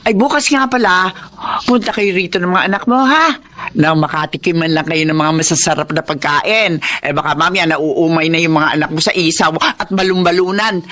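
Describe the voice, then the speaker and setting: A woman's voice: lowish voice, moderate pace, fairly bright tone, lightly acted. A woman playing a boastful, chatty middle-aged Filipino mother in a radio drama. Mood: breezy, condescending. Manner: bragging, gushing, chatty.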